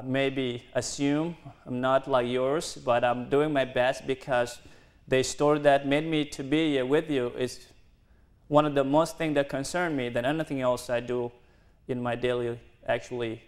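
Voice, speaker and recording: A young man in his twenties, originally from South Sudan with Dinka as his first language, addresses a university audience. He speaks earnestly in careful, halting English, often restarting his sentences. His voice sits at an ordinary middle pitch. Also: steady tone. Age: 20s. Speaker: guest speaker. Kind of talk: university lecture.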